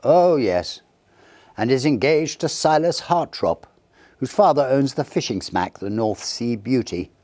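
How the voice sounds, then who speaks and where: A man's voice: medium pitch, lively intonation, measured pace, a little mellow, dry recording, voice pushed up, close to an everyday voice. A man voicing a kindly, opinionated country rector chatting about his parishioners in a storybook reading. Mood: gossipy, concerned. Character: kindly, gossiping country rector, mildly disapproving.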